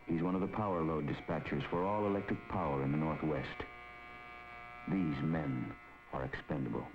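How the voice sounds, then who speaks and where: A man giving grave, measured scripted narration for a 1950s civil defense documentary film. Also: extremely low pitch, background noise. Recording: dull 1950s film soundtrack with music.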